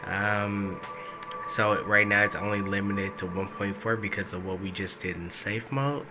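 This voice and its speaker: A man with a fairly low voice walks through a tech tutorial in an informal, off-the-cuff way. He pauses hesitantly as he goes. Music plays clearly behind him, and he is recorded on a handheld camera in a small room. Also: fairly even intonation. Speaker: video creator giving a tech tutorial. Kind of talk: casual step-by-step tutorial. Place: indoors, small dim room at a desk setup. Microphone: handheld camera or phone mic.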